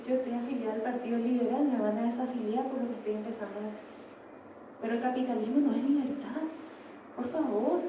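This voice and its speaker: A woman's voice: high voice, warm tone, a little hiss or hum. A woman, speaking out emphatically on a panel at a book presentation, with pointed rhetorical questions. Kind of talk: panel discussion remarks. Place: large hall, book presentation. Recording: echoey hall from far off.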